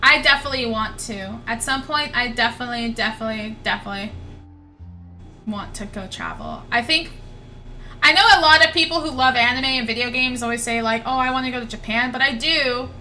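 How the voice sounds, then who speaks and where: A woman's voice: fairly high pitch, a little fast, pushed and bright. A young woman casually chatting on a game livestream, rambling and gushing, often repeating herself. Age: young adult. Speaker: streamer, VTuber. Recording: music behind the voice.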